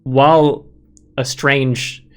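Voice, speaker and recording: A man's voice: medium pitch, little pitch movement, very slow, clean tone, soft background music. A man giving casual let's play commentary, opinionated and rambling as he thinks aloud. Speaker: gaming video host.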